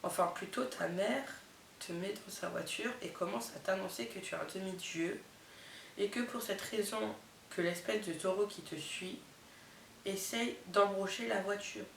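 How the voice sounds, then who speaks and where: A woman's voice: mid-range voice, moderate pace, creaky. Young woman, head cold, nasal, chatty vlogger; distant mic, echoey room. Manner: chatty, lots of fillers and amused asides. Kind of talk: casual chatty vlog, reading out summaries with reactions. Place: indoors, not her bedroom. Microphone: camera mic. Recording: roomy, distant camera mic with faint hiss.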